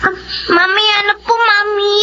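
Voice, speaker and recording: A woman's voice: very high voice, little pitch movement, measured pace, music in the background. A woman playing a timid, curious little girl in a horror radio drama, hesitant and plaintive. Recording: muffled audio with faint noise.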